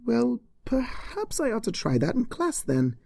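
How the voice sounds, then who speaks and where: A reader voicing a concerned, polite female pony schoolteacher with a gentle, hesitant manner in a storybook reading. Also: mid-range voice, wildly swooping pitch, measured pace, gravelly, dry recording, clean studio sound, voice pushed up, full character voice. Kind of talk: storybook character voice in fan fiction reading.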